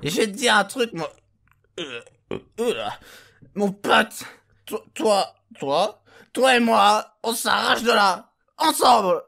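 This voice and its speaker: A man's voice: sits high, lively intonation, takes it slowly, vocal fry, long pauses, pushed and bright, heavily acted. A man playing a drunken, weary, self-pitying henchman who rambles and repeats himself in a comedic audio drama. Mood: drunk, fed up.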